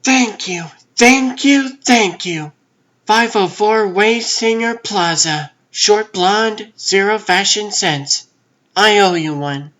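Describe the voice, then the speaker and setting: A performer voices a pushy, wry female newspaper reporter for a comic dub. The delivery is insistent yet unhurried, sitting at a middle pitch. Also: a bit bright. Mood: pleading.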